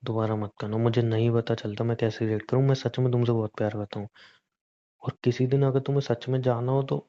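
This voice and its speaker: Man acting mellow, muffled, hurt young boyfriend. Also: medium pitch, level tone, fast talker, close to an everyday voice. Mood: hurt, relieved. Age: young adult. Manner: pleading, mixes English phrases into speech. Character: hurt, angry young boyfriend being dumped. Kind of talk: acted phone-call conversation. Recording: dull, lo-fi phone call recording.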